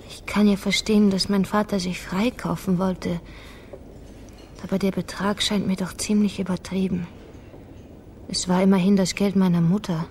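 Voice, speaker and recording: A woman's voice: medium pitch, little pitch movement, normal speed, a little mellow, natural voice. Guarded, resolute woman, played by an actress, rain-like noise behind. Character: guarded, resolute daughter of a politician, seeking family truth. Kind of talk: German radio crime drama acting.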